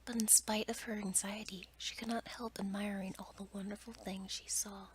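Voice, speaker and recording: A woman softly whispering a bedtime story in ASMR style, with a breathy, gentle delivery. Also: mid-range voice, level tone, moderate pace. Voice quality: whispered, breathy, mostly unvoiced. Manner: soft, gentle reading with little change between characters. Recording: noticeable background noise.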